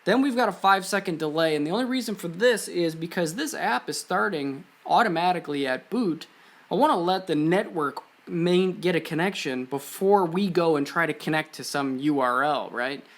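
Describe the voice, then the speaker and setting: A man with a voice pitched a little higher than average presents a technical tutorial. He talks informally and offhandedly, walking through code one step at a time as a casual explainer. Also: lively intonation, moderate pace, a bit bright. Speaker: presenter of an electronics and coding tutorial. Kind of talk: casual screen-share code walkthrough.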